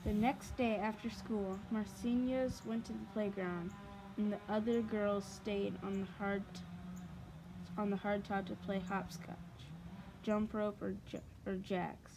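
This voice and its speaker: A woman reading a storybook aloud haltingly, hesitating and repeating words as she works through sentences. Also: mid-range voice, level tone, background noise.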